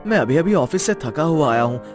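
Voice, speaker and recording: A man's voice: fairly high pitch, animated, brisk, over music, natural voice. A man as a meek, earnest young son-in-law. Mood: apologetic, pleading. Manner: deferential, pleading.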